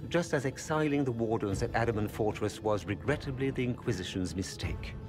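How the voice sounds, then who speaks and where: An actor playing a smooth, condescending male noble diplomat in a game cutscene with music behind. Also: medium pitch, a little fast, natural voice. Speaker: Orlesian ambassador.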